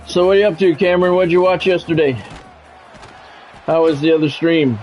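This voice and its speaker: An American man doing live play-by-play of a video game football match in a measured announcer style, mixed with casual chat to stream viewers. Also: a little high, darkish tone. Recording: dull lo-fi sound with music behind.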